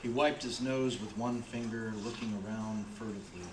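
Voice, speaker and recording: A man's voice: lowish voice, moderate pace, background noise, natural voice. A man acting as the plain, grim storyteller of a radio play, reading scripted narration. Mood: grim, measured. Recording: roomy, with music behind.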